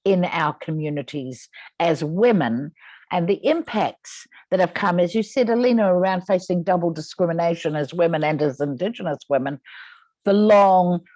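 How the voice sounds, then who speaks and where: Low, measured woman moderator, dry sound. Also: darkish tone, no room sound. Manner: measured, summarizing, articulate. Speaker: webinar moderator. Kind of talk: moderating a panel discussion.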